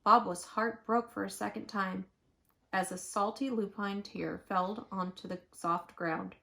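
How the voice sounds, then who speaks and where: A woman reading a children's picture book aloud expressively, with dramatic, emphatic pauses. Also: medium pitch, steady tone, vocal fry, a bit bright. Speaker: storyteller.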